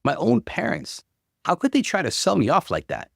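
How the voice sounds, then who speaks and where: A man's voice: sits high, huge pitch swings, moderate pace, creaky, dry recording, studio quality, pitched above the performer's own voice, heavily acted. A man voicing a defiant, upset young woman in a story, emphatic and exclaiming. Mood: distraught.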